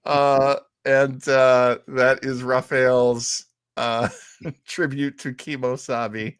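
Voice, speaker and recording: A man with a mid-pitched voice and a slow, deliberate pace. He co-hosts a live show on radio history, telling stories expressively in a conversational way. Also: level tone, smooth. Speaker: co-host.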